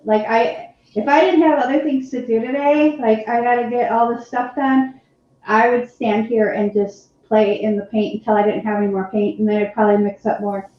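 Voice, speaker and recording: A woman's voice: on the high side, fairly even intonation, moderate pace, a little mellow. A woman co-hosting a live painting stream, chatting in a rambling way and laughing often. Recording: very echoey, dull lo-fi sound.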